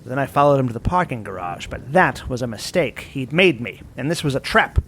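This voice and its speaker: Man acting a theatrical secret agent doctor, faint rumble. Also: lively intonation, a little fast, a bit bright. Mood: grave, dramatic. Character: drugged male doctor secret agent recounting his ordeal, theatrical. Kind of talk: comedic spy audio drama.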